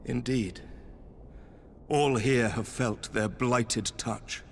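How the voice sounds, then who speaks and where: An actor playing a stern noble leader in a video game, speaking in an archaic, courtly, oratorical way. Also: male voice, medium pitch, fairly even intonation, drawn-out words. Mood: stern resolve. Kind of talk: video game cutscene acting. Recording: long hall reverb, faint background noise.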